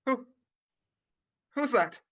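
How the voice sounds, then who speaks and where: A woman playing a frightened, bewildered old miser in a radio play, stammering and hesitant. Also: drawn-out words. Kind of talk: radio play rehearsed reading over video call. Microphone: video call. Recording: dull, lo-fi video call audio.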